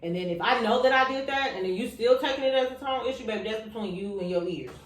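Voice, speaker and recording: A woman hosting a casual podcast chat, animated and getting loud when excited, often laughing as she talks. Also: on the high side, fast talker, echoey room, rough recording. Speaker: podcast host.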